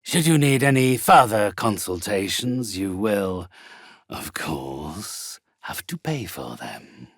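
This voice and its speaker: Ancient undead necromancer for a fantasy game: very husky, gravelly, pompous, mercenary and menacing. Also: male voice, fairly low pitch, animated, fairly bright tone, dry recording, studio quality, heavily acted. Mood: suave, mercenary. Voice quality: raspy, hoarse. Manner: pompous, formal, deliberate. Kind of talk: theatrical video game character acting.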